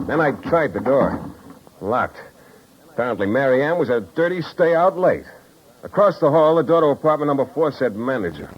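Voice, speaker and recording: A man's voice: medium pitch, big pitch swings, normal speed, a little hiss or hum, lightly acted. An actor playing a cocky, sardonic private detective in a 1950s radio drama, wisecracking and narrating his own case. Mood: sardonic narration. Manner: wry, sardonic. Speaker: lead actor and first-person narrator. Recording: muffled old radio recording with music.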